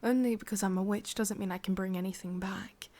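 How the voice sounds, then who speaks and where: A woman with an English accent voicing a sorrowful, obsessive witch in an intimate ASMR roleplay, speaking softly in halting, confiding whispers. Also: medium pitch, steady tone, lightly acted. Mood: solemn, warning. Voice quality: soft, breathy, mostly whispered. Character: sorrowful, obsessive female witch, tender yet menacing.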